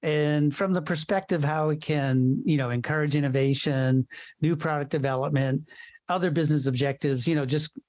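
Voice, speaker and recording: A man hosting an interview, asking long questions with hedges and pauses. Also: a little high, steady tone, darkish tone, dry recording, clean studio sound. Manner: halting, hedging phrasing. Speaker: host, interviewer.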